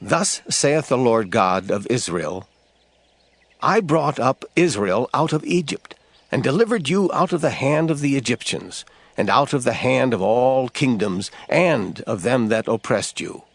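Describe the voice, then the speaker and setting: A man's voice: medium pitch, expressive, unhurried, dry recording. A man playing a solemn, commanding prophet addressing his people in a dramatized scripture audio drama, grave and declamatory. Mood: stern, reproving.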